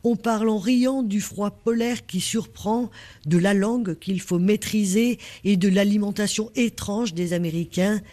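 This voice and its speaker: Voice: medium pitch, a little slow, dark tone. A reporter narrating a scripted news report.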